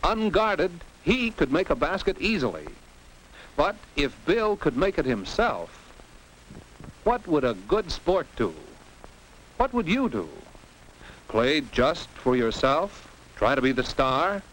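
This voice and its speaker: A man's voice: fairly high pitch, very animated, takes it slowly, fairly bright tone. An American man giving scripted, didactic narration for a 1950s educational film for teens, in the manner of a commentator who poses questions and exclaims. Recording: noisy old 1950s film soundtrack.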